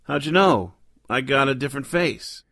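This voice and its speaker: An actor playing a sardonic, deadpan noir detective who both narrates and talks in a radio drama. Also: male voice, medium pitch, moderate pace, gravelly. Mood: wry. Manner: sardonic, deadpan, world-weary. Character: cynical wisecracking hardboiled male noir detective, Joe Smoke.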